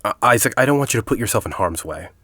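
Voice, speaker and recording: A man playing a composed, persuasive university headmaster in an audio drama, speaking in a formal, deliberate way. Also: on the low side, expressive, fairly bright tone, dry recording, studio quality. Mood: reassuring. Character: composed, persuasive university headmaster, grieving a colleague, quietly calculating.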